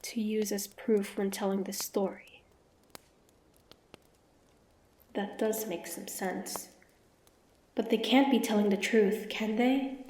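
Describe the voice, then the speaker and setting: A woman playing a wary, sharp-tongued young demon hunter with a prickly but grudgingly softening manner, in a fantasy roleplay audio drama. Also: mid-range voice, natural voice. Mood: doubtful. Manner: guarded, sharp-tongued, tsundere.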